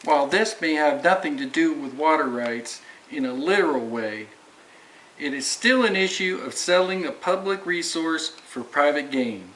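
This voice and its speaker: A man's voice: fairly high pitch, expressive, moderate pace, a bit bright, slight background noise, low-quality recording. A man playing a skeptical, indignant environmental campaigner in a scripted amateur puppet show.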